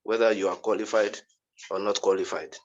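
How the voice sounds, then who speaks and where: A man answering a lecturer's question as a research student, with measured, formal phrasing, over muffled online call audio. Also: lowish voice, steady tone, slight background noise. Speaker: research student, seminar participant.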